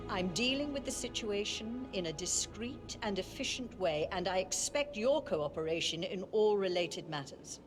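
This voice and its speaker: A woman's voice: medium pitch, expressive, normal speed, few pauses, lightly acted. A woman playing a cold, commanding elderly matriarch of an elite family in a video game, speaking in a curt, formal way. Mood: stern, composed. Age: older. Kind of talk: cinematic video game acting. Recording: music behind the voice.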